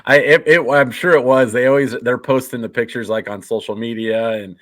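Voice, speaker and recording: A man's voice: medium pitch, fairly even intonation, brisk, fairly bright tone, no echo. A man with an American Midwestern accent hosting a casual sports podcast interview. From Des Moines, Iowa, United States. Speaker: podcast host.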